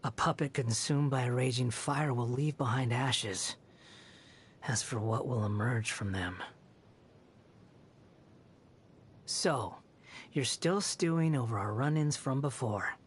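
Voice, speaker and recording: A man's voice: mid-range voice, a little slow, husky. An actor playing an aloof, mocking young male puppet in an anime video game, sardonic, haughty and dismissive. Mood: taunting.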